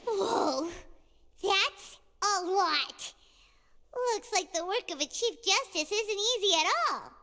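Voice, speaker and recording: Game fairy sidekick: extremely high, squeaky, childlike, very animated, curious and cheeky. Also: female voice, slow pace, in a large hall, a little hiss or hum, full character voice. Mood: amazed. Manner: animated, speaks of herself in third person. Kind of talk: video game voice acting.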